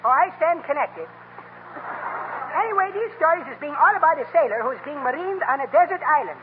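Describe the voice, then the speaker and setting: A man's voice: very high voice, expressive, a little fast. An actor playing a cheery immigrant neighbor who mangles his grammar and words, in 1930s radio comedy style. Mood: cheerful rambling. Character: cheery Greek immigrant neighbor, jolly malaprop talker. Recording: scratchy 1939 radio broadcast, tinny and noisy.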